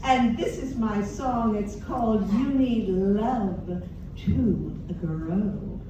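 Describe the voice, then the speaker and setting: A woman on a small theater stage introducing her song to the audience in a bright, theatrical manner. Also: medium pitch, drawn-out words, background noise. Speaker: singer. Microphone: stand mic, heard from far. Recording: echoey stage sound from far.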